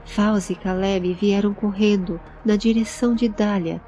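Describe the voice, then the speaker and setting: A woman's voice: medium pitch, steady tone, moderate pace, mellow. A woman narrating a scripted fantasy audio story. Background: background music.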